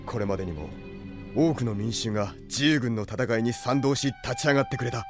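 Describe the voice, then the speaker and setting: An actor playing a grave, lordly exiled prince who leads a rebel army, speaking in solemn, measured lines in an anime audio drama. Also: male voice, mid-range voice, over music, lightly acted. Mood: somber.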